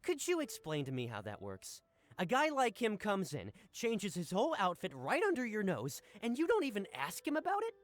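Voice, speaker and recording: A woman's voice: medium pitch, huge pitch swings, normal speed. A woman playing a brash field agent in a game, blunt and pushy in her questions.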